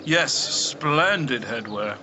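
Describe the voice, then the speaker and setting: A man acting a gentlemanly, cerebral Victorian detective with a formal, precise, courtly manner in a radio drama. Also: medium pitch, expressive, slow pace, noisy background. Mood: proud, intrigued.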